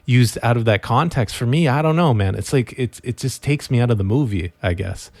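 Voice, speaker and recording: A man from Montreal hosting a podcast, asking questions in a hesitant, restart-heavy way that grows animated when he makes a point. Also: mid-range voice, brisk, no echo. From Montreal, Canada. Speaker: podcast host. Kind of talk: casual interview talk.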